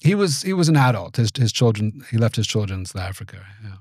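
A man in his forties, raised in the United States, giving thoughtful, measured interview answers in a small studio. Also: fairly low pitch, sing-song, darkish tone, slightly rough, no echo. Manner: measured, thoughtful, with frequent restarts. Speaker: guest, venture capitalist. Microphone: studio mic on boom arm.

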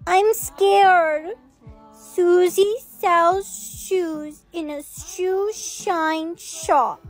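A woman's voice: very high voice, lively intonation, normal speed, faint music behind, poor audio. A woman voicing an innocent, timid little girl who talks in a careful, childlike way in a short skit. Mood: scared. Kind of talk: acted short melodrama skits.

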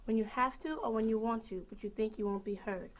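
A sixteen-year-old girl reciting a spoken-word testimony in a steady, declamatory way. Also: fairly high pitch, fairly quick. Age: teens.

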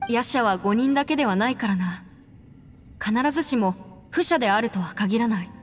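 A woman acting in a Japanese game as a composed, proud young noblewoman adventurer, speaking in a measured, firm and formal way. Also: a little high, animated, lightly acted. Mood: thoughtful, serious. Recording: long reverb, faint music behind.

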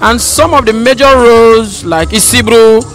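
A man's voice: very high-pitched, normal speed, fairly bright tone, rough recording. A young Nigerian man giving an earnest, emphatic interview answer into a handheld microphone. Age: young adult. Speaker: audience member being interviewed. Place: large indoor auditorium. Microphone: handheld microphone held close. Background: music.

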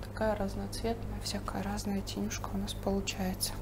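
A woman's voice: mid-range voice, normal speed, background noise. Woman painter talking through her work. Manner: thinking aloud while painting, calm and explanatory. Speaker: painting instructor. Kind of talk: painting demonstration with commentary. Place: studio table, overhead camera on the painting.

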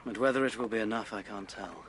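An actor playing a weary, bitter English novelist in a period radio drama, speaking formally and with irony. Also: male voice, fairly low pitch, fast, fairly bright tone, slight background noise, lightly acted. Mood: despairing. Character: struggling, weary, bitter male novelist and husband.

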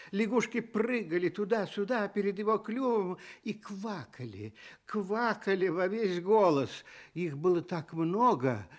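Male narrator, children's radio story, slightly high voice, lively melody, deliberate, dramatic. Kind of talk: expressive scripted children's storytelling.

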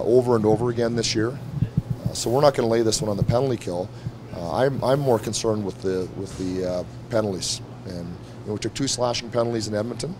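A man's voice: on the low side, noisy background. A middle-aged man, a head coach, answering reporters bluntly after a game, repeating his points. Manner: blunt, frank, repeats himself. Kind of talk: postgame press conference. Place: arena press room before a team backdrop. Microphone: several handheld mics held close.

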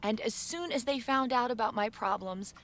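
A woman giving measured, subdued first-person narration for an animated story video. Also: on the high side.

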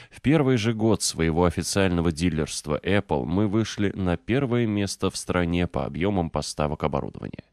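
A man narrating a nonfiction audiobook from a script in a measured, clearly articulated way. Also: low-pitched, darkish tone, dry recording, studio quality.

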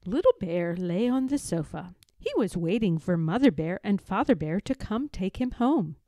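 A woman reads a children's picture book aloud with dramatic emphasis. Her voice is soft-edged and mellow, moving slowly with very lively intonation. The sound is close and dry. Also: mid-range voice, no echo. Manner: dramatic, with suspenseful pauses and emphasis. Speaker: read-aloud storyteller.

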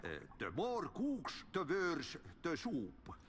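An actor playing an older, cryptic, cheerful Finnish-accented janitor who speaks in a folksy, unhurried sing-song in a video game. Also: male voice, high voice, sing-song, long reverb tail, faint music behind, heavily acted. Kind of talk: video game character acting.

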